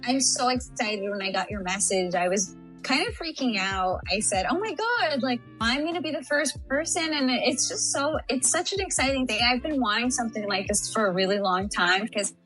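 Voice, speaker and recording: A woman's voice: on the high side, expressive, moderate pace, fairly bright tone, soft background music, poor audio. A young woman from San Antonio, Texas, chatting as a podcast guest. She is bubbly and laughs often. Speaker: interview guest. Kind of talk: casual podcast interview.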